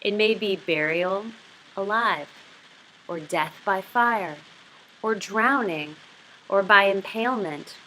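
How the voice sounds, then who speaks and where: A woman reading the part of a menacing, coldly controlled male interrogator in a novel, with slow, deliberate delivery. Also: mid-range voice, sharp tone, a little hiss or hum, rough recording. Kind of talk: audiobook-style novel reading.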